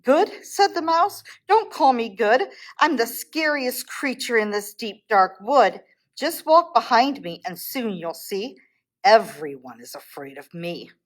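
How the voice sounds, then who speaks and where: A woman's voice: fairly high pitch, very animated, a little slow. A woman voicing a plucky, sly little mouse in a children's storybook, polite, confident and teasing. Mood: cheeky, confident.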